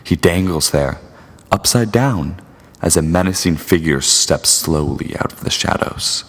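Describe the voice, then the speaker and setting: A man's voice: sits low, expressive, a little slow, heavily acted. A man playing an ominous, theatrical host who narrates a noir radio serial in florid, portentous phrases. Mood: grave, suspenseful. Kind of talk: dramatic old-time radio narration. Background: loud music.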